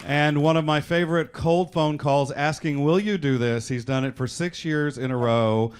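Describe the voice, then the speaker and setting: A man's voice: a little high, moderate pace. A man hosting a live stage show, introducing performers in an announcer-like way with conversational asides. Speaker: host and director introducing a cast. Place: theatre-style performance space before an audience. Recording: live hall, clear crowd noise. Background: audience noise.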